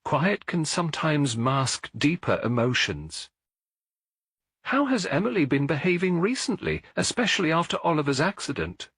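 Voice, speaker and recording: A kindly elderly lady sleuth, voiced by a male narrator, in a mystery audiobook. The speech is polite, measured and gently probing, with lively movement in pitch and a slightly husky edge. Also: no room sound, clean studio sound, full character voice.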